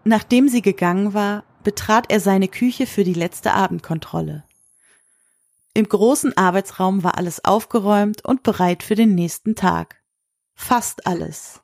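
A woman narrates a scripted audio drama. Her voice is medium in pitch, reading prose as a storyteller between the characters' lines. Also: measured pace, gravelly, darkish tone, dry recording.

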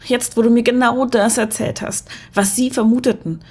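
A woman playing a frightened, desperate woman coerced into spying in a German audio drama, with halting, pleading speech that repeats words. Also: fairly high pitch, steady tone, a little mellow, breathy edge. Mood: distraught confession.

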